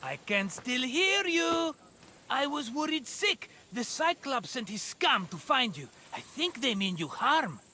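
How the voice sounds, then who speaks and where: A man's voice: extremely high pitch, big pitch swings, measured pace, pushed and bright, a little hiss or hum, exaggerated voice. An actor playing a jovial, scheming merchant in a video game cutscene, with a theatrical, wheedling salesman's manner. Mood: worried. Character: jovial, scheming male merchant and hapless vineyard owner.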